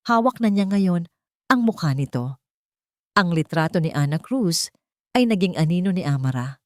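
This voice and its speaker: Woman, low-pitched, dry studio sound, dramatic scripted storytelling, audio drama narrator. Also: lively intonation, moderate pace, darkish tone, no echo.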